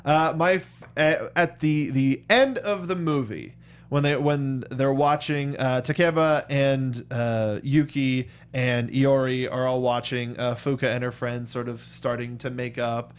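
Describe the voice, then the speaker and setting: A man's voice: medium pitch, steady tone, a little slow, clear, resonant tone. An American man co-hosting a review show, talking casually with slangy, enthusiastic fan talk. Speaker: co-host of a film review show. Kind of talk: casual panel chat and review.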